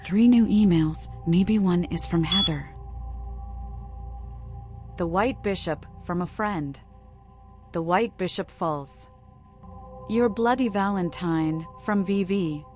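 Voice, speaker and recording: A moody young vampire woman played by an actress, with a fairly low voice. She muses theatrically to herself like a lovelorn game heroine, with music playing underneath. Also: takes it slowly, pauses often, a little mellow. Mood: curious. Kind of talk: game character monologue.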